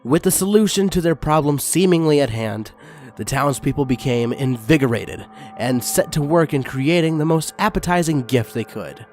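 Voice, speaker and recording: A man reading a fanfiction story aloud as channel host, excitable and crude in his asides. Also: on the high side, moderate pace, soft background music. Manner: excitable and crude in the intro, then steady reading.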